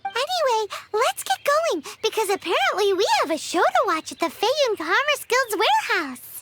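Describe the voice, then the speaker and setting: A woman's voice: very high voice, sing-song, moderate pace, very noisy. A woman voicing a tiny, chatty fairy-like girl companion who is bossy and cheeky in a game. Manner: bossy, chirpy, speaks of herself by name. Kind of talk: game dialogue.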